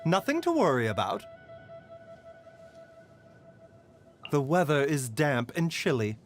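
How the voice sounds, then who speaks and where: Game physician: refined, gently sly scholar, slightly high voice with lively intonation, measured formal delivery. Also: male voice, faint music behind. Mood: composed, reassuring. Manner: polite, measured, formal phrasing. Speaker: game character voice actor.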